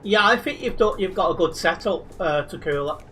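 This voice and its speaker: A man's voice: sits high, lively intonation, brisk, bright, forceful tone, a little hiss or hum. A man chatting casually to viewers while live-streaming a driving game, reading and answering chat, a little sleep deprived.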